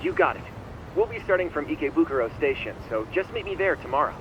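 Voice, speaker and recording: A man's voice: animated, quick pace, close to an everyday voice. An actor playing an eager, upbeat young male colleague in a video game, speaking with enthusiasm. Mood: eager, friendly. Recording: dull lo-fi sound, clear traffic rumble.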